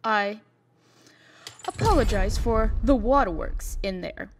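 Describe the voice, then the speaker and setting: A woman's voice: a little high, slow pace, a little hiss or hum. A woman playing a proud, composed fairy-tale heroine holding back worry, formal and firm in a comic dub drama. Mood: subdued, worried.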